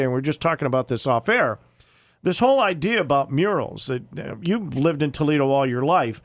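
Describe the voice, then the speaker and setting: A man's voice: a little high, dry recording. An American man hosting a radio talk show, questioning and arguing emphatically in an opinionated live interview.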